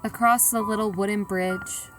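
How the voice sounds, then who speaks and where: A woman playing a frightened young woman who recounts an eerie ordeal in a radio horror drama, hushed with dread and anxious. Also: medium pitch, fairly even intonation, moderate pace, over music, natural voice, low-quality recording. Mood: tense, haunted.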